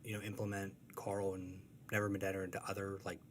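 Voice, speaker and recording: A man's voice: deep voice, monotone, fast talker, a little hiss or hum. A man chatting casually as an interview guest, thoughtful and hesitant as he answers. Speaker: comic strip artist, interview guest.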